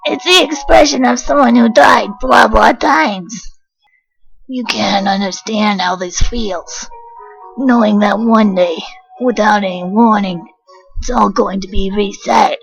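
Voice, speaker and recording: A creaky, gravelly skeleton guy acted by a woman talks slowly, worn out and resigned. Music sits behind the fan dub performance. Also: medium pitch, pitched below the performer's own voice, rough recording. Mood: weary, resigned. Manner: slow, weary, resigned. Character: weary, resigned, laid-back male skeleton. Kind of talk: fan comic dub voice acting. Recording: music under the voice.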